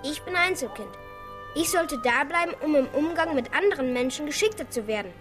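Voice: high voice, expressive, a little fast. An actor in a children's radio play voicing a well-bred, clever merchant's son who explains things carefully and eagerly. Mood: thoughtful. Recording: studio radio play with music underneath.

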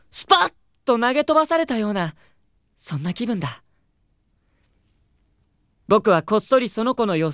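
Excitable, dramatic teenage boy. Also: a little high, no echo, clean studio sound. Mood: wistful. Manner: theatrical, swinging between hushed reflection and fervent awe. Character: earnest, excitable teenage schoolboy, devoted admirer of an idol. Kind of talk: Japanese anime audio drama monologue.

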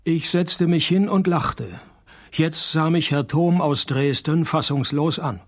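A husky male private detective, pitched a little high for a man. He is cynical and sardonic, delivering radio play dialogue and noir-parody narration with dry, deadpan sarcasm. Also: slow. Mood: deadpan narrating. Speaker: lead character and narrator.

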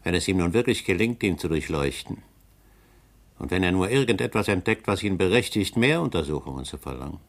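Low, insinuating schemer with a gravelly bottom end, radio drama. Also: male voice, lively intonation, a little fast. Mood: uneasy. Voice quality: deep gravelly voice. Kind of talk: radio play acting.

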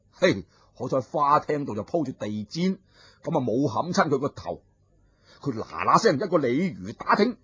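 A man's voice: a little high, husky, no room sound. A man narrating a martial-arts tale in a dramatic traditional storytelling style. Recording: dull lo-fi recording.

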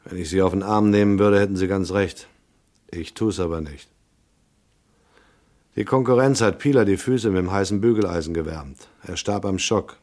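A tough, hard-boiled detective played by a male actor from a noir radio play. His voice sits on the low side. He narrates and talks in a terse, unimpressed, matter-of-fact way. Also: normal speed, darkish tone, natural voice. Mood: terse, dry. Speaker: narrator and lead character.

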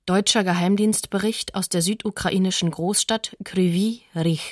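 A woman's voice: mid-range voice, fairly even intonation, normal speed, dry recording, clean studio sound. A woman narrating a scripted radio documentary, announcing sources and dates in a sober, factual manner.

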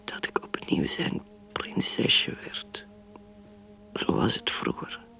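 An actress playing a bitter, wistful married woman who secretly craves drink, in an intimate, halting radio drama monologue. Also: mid-range voice, a bit bright, exaggerated voice. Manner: whispered, breathy, halting. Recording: music under the voice.

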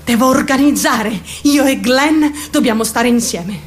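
A woman's voice: on the high side, a little slow, a little hiss or hum, lightly acted. An actress playing a troubled, obsessive and fearful young woman in a radio drama monologue, intense and questioning herself as she confides in onlookers. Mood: obsessive longing. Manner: intense, self-questioning, shifting suddenly in tone.

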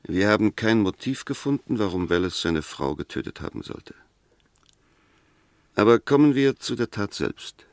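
Sceptical defence barrister, lowish voice, dry recording, eloquent, formal, measured, 1960s German radio drama. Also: male voice, lively intonation, no echo. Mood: firm, reasoning. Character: male defence lawyer, probing, eloquent, sceptical. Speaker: actor in a radio play. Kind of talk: 1960s German radio drama acting, courtroom-style argument.

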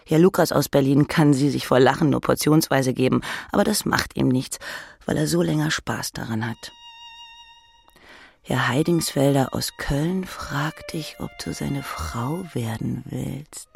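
Female audiobook reader, wry and dramatic, gravelly low-end creak, faint music behind. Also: a little slow, darkish tone. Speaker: audiobook narrator. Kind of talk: literary second-person narration, present tense.

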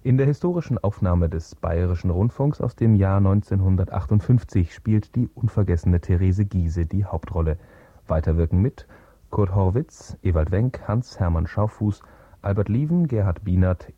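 Husky, low-voiced male radio announcer. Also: animated, moderate pace, no room sound, muffled recording. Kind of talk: scripted introduction narration.

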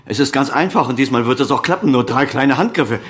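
A man's voice: mid-range voice, expressive, quick pace, lightly acted. An actor playing a proud, evasive surgeon and father of a grown son, speaking in an authoritative, articulate way in a German radio crime drama. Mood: scornful, tense.

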